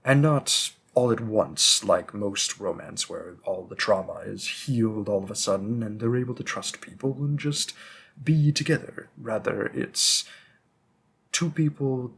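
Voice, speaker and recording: A man's voice: lowish voice, vocal fry, a bit bright, slightly rough, full character voice. A man playing a patient, bookish vampire bookseller with a hint of menace, musing hesitantly in a conversational roleplay audio drama. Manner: musing, hesitant, deliberate, with frequent pauses.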